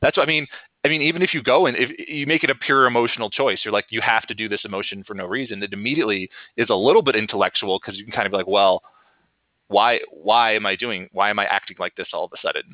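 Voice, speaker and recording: A man hosts a casual podcast chat and rambles in an animated way, often restarting his thoughts. His voice is a little low for a man. The audio is tinny and dry, like a video call. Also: fast talker, no echo. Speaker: podcast host, improviser.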